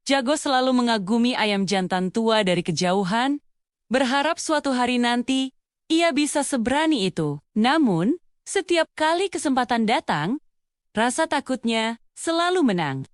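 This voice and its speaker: A woman's voice: on the high side, no room sound, clean studio sound. A woman narrating a scripted children's fable as a storyteller.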